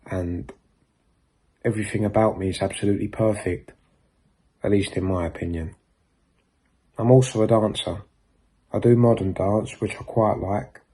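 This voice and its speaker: Casting: male reader, dark mellow tone with some rasp, for scripted first-person narration. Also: lowish voice. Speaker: reader at a book launch. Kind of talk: scripted reading of a pupil's personal essay.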